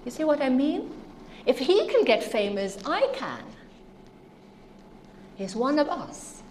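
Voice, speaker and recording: A woman's voice: high-pitched, very animated, slow, a little mellow, slight background noise. A middle-aged woman with a Norwegian accent, giving an earnest, emphatic conference lecture with rhetorical questions to the audience. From Norway. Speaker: speaker.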